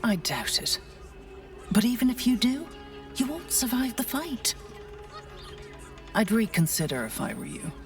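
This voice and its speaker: A woman's voice: a little high, very animated, measured pace, long pauses, recorded from a distance, soft background music. A woman voicing a devil's mortal assistant in a video game, brash, familiar and persuasive. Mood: earnest, urgent. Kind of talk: video game character acting.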